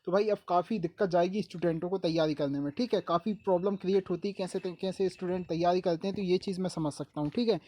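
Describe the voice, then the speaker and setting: A man's voice: sits high, fast talker, hardly any pauses, warm tone. A man from Madhya Pradesh hosting an informal explainer for students, speaking familiarly with frequent check-in tags. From Madhya Pradesh, India. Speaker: exam-update video host.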